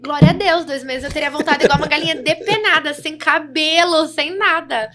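Young woman guest, high voice, laughs a lot, candid podcast chat. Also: a little fast, a crowd in the background. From interior of São Paulo state. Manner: candid, laughing, lively storytelling. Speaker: podcast guest, reality show participant.

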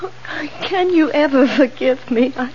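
An actress playing an earnest, fearful widow in a 1970s radio drama, with melodramatic, pleading delivery. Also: female voice, sits high, measured pace, a little mellow. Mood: relieved, tearful. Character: earnest, fearful widow, emotional damsel in distress. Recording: dull, very noisy 1970s radio recording.

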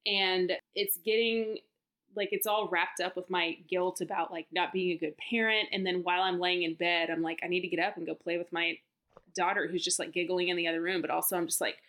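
A woman's voice: fairly low pitch, steady tone, a little fast, fairly bright tone. A woman in her thirties co-hosting a teachers' podcast, chatting and joking casually. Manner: chatty, jokey storyteller. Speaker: podcast co-host. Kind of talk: casual chat.

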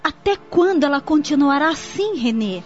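An actress playing a dutiful, anxious young daughter of a rich family in a radio soap opera. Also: female voice, high voice, lively intonation, normal speed. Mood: worried. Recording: dull lo-fi audio with music.

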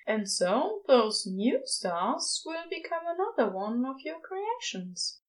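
A woman voicing a gentle, earnest male angel in a story, softly spoken and full of fond wonder. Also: sits high, big pitch swings, slow pace, voice pushed up, full character voice. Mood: firm, fond. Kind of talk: podfic character dialogue.

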